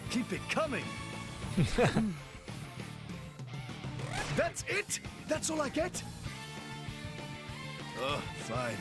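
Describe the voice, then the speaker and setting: A man's voice: very high voice, huge pitch swings, extremely slow, pauses often, over loud music, full character voice. An actor playing a cocky, theatrical rival swordsman prince in a video game, pompous and showy as he taunts. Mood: exhilarated boasting. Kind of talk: video game cutscene acting.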